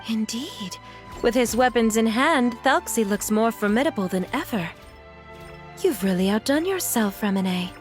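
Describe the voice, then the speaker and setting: A woman playing a gentle, polite and devoted mother in a video game, speaking in a courteous, measured, encouraging way. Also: on the high side, expressive, faint music behind, lightly acted. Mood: grateful, gentle. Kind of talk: video game character acting.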